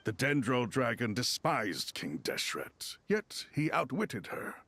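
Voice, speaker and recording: A man playing a solemn, learned desert god in a video game, speaking formally in a gravelly, measured way. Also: medium pitch, very animated, fairly bright tone, dry recording, full character voice. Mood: solemn, reflective. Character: solemn, learned male desert god loyal to his king.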